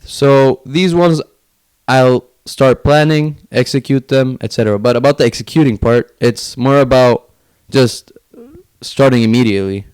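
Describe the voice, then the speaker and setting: Man, from Finland, smooth clear voice, medium pitch, casual podcast guest, informal filler-heavy phrasing. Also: normal speed, darkish tone. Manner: informal, peppers talk with "like". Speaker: podcast guest, young entrepreneur. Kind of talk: casual interview chat.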